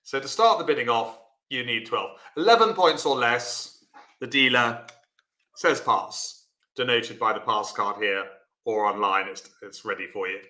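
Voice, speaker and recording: A man teaching an online bridge lesson, chatty and encouraging, explaining step by step in a loud voice. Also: medium pitch, a little slow, echoey room.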